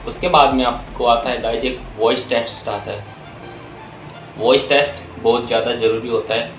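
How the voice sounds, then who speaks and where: Male explainer host, mid-pitched, informal and instructional, roomy echo with music behind. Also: steady tone, moderate pace, fairly bright tone. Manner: instructional, Hindi mixed with many English terms. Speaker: video host explaining a career path. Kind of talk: informal explainer addressing viewers.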